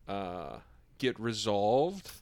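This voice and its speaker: A man co-hosting a casual podcast chat, deliberate and earnest, choosing his words carefully. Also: fairly low pitch, big pitch swings, darkish tone, no room sound. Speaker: podcast host.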